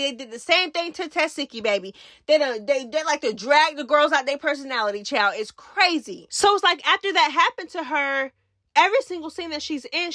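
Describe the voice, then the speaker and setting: A woman's voice: high-pitched, pushed and bright, dry recording, clean studio sound. A woman giving casual gossip commentary as a channel host, speaking in a sassy, emphatic, slangy way. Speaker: host of a reality TV recap channel.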